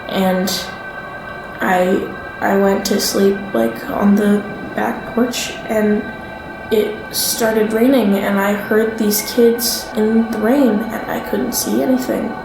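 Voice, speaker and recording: An actress as an uneasy young woman in a naturalistic audio drama. She talks slowly and haltingly, often trailing off, in an echoey space with clear music underneath. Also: mid-range voice. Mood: hesitant, uneasy. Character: hesitant, uneasy young woman living in a strange house. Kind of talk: naturalistic fiction podcast acting. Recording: roomy echo, music underneath.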